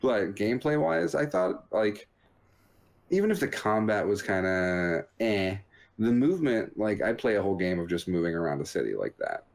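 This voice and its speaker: A man's voice: lowish voice, expressive, moderate pace, creaky. A man hosting a video game show, giving casual, rambling commentary in a joking, understated way.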